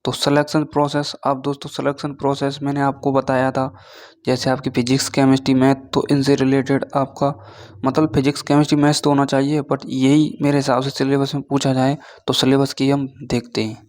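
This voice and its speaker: Man teaching in a flat, even tone. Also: mid-range voice. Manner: repeatedly checks in with short tag words; switches between Hindi and halting read-out English. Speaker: online class teacher. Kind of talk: informal explainer lesson reading through a job notification.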